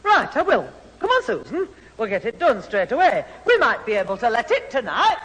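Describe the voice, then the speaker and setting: A woman playing an exasperated middle-aged mother in a 1970s radio sitcom, brisk and scolding. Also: fairly high pitch, wildly swooping pitch, a bit bright, slightly rough, exaggerated voice. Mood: brisk, irritated. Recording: echoey, hissy radio recording with audience. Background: studio audience.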